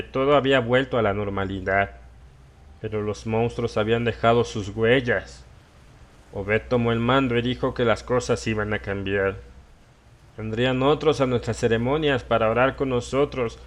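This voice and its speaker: An old, drink-sodden villager, acted out by a male narrator tells a tale of small-town horror. He is haunted and agitated, delivering it as a dramatic audiobook monologue at a middling male pitch. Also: close to an everyday voice. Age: older.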